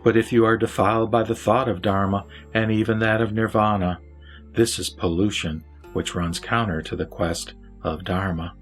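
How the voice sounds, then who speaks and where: Solemn wise sage, man reads him, lower voice, earnest instructive tone, faint music bed. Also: fairly even intonation, moderate pace, natural voice. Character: Vimalakirti, wise lay sage teaching.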